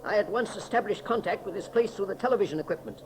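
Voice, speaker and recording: A man playing a kindly, capable scientist rescuer with brisk, old-fashioned heartiness in a 1930s radio serial. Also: sits high, close to an everyday voice. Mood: explanatory. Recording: noisy 1930s radio recording.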